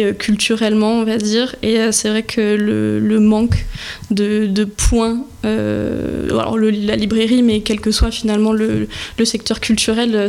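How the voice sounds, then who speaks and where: A woman in her twenties, from French Guiana, answering questions on a local radio show in a measured way with many hesitations. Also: mid-range voice, creaky, darkish tone. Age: 20s. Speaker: guest bookseller. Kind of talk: radio interview. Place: small local radio studio.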